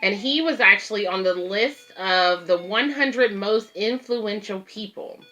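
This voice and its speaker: A woman's voice: mid-range voice, a little slow, bright, forceful tone, dull audio, faint music behind. A woman hosting a children's read-aloud, reading a picture book and explaining it simply to young listeners.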